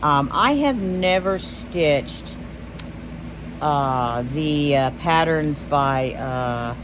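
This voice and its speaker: Texas lady showing off her stitching gifts, wanders off topic a lot, air conditioner humming loud behind her. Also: low-pitched, animated, extremely slow, noisy background. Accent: Texan. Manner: rambling, loses her train of thought. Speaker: stitching vlog host. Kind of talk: casual show-and-tell vlog.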